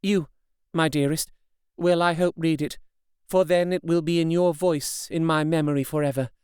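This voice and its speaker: Guy doing a young wife voice, raised and smooth, begging her friends solemnly, audiobook. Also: fairly high pitch, fairly even intonation, moderate pace, darkish tone, no echo, clean studio sound, voice pushed up, lightly acted. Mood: solemn pleading. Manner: earnest, tender, impassioned pleading. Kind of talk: audiobook character reading.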